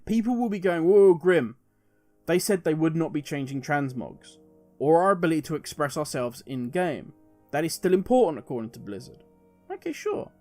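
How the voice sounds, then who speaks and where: British man, slightly high-pitched, measured commentary. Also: a little mellow. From United Kingdom. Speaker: gaming video creator and host. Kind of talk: conversational opinion commentary to viewers.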